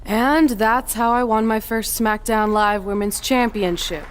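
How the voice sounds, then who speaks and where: A woman's voice: a little high, fairly even intonation, a little slow, slight background noise, close to an everyday voice. An actress playing a confident, teasing champion female wrestler in a video game, with a playful, wry manner. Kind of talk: video game cutscene dialogue.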